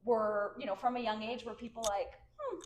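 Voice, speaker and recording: A woman's voice: fairly high pitch, brisk, slight background noise. A woman co-hosting a podcast, bubbly and encouraging, asking questions and laughing often. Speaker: podcast co-host. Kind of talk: casual interview chat.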